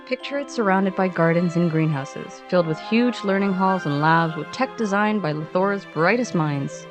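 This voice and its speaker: Low-pitched woman storyteller over music. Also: normal speed. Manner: playful, informal, dry asides. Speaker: co-narrator of a fiction podcast. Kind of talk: scripted conversational storytelling.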